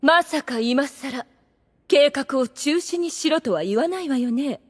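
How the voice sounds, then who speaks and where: A proud demoness with a very high voice that swoops widely. She is ladylike, scheming and condescending, in full theatrical anime audio drama style. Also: animated, measured pace. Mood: haughty, challenging. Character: proud, scheming, lovestruck demon woman, chief of guardians.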